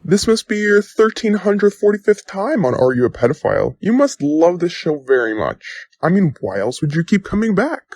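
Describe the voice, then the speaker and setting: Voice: medium pitch, big pitch swings, moderate pace, few pauses, a little mellow. Someone acting a smug gaslighting talk show host, super swoopy theatrical delivery, mocking, bit of background noise. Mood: smug teasing.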